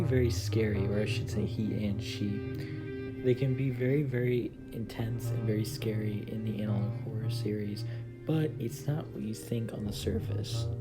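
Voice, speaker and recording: Young man over loud music. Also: lowish voice. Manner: emphatic, repeats words for stress. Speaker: video host. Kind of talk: casual countdown commentary. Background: loud music with guitar.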